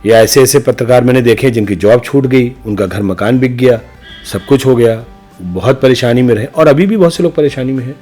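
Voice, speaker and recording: A man's voice: mid-range voice, brisk, a little mellow, soft background music. A middle-aged man giving reflective, opinionated answers as a podcast guest, speaking emphatically in rhetorical, repeated phrases. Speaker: interview guest, senior news journalist.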